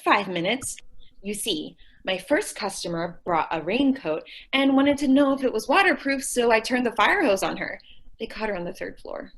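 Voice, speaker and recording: A woman's voice: a little high, expressive, normal speed, fairly bright tone, low-quality recording. A woman playing a sweet, naive, scatterbrained young shop clerk in an old-time radio comedy, earnest and guileless in her delivery. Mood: plaintive, determined. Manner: earnest, guileless, literal-minded.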